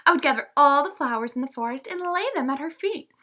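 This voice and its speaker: Sly flatterer of a fox for a children's fable, played by a woman: bright, animated, coaxing, theatrical. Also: high-pitched, fast talker, pitched above the performer's own voice. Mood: pleading. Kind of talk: storybook read-aloud character voice.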